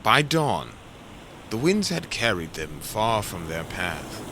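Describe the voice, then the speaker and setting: A man's voice: lowish voice, big pitch swings, takes it slowly, sharp tone, slight background noise. A man narrating an epic story in a solemn, portentous, dramatic scripted style.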